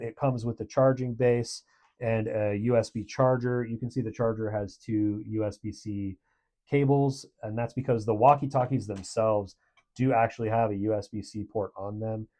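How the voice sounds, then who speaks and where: A man's voice: on the low side, normal speed, warm tone. A man giving a casual product review in a conversational, approving way.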